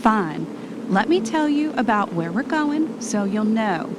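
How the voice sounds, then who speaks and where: A woman's voice: sing-song, unhurried. A woman playing an eager, bossy travel podcaster in an amateur audio drama, chatty and ready with an announcer's polish. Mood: exasperated. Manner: chatty, switches into polished radio voice. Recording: noisy, vehicle rumble behind the voice.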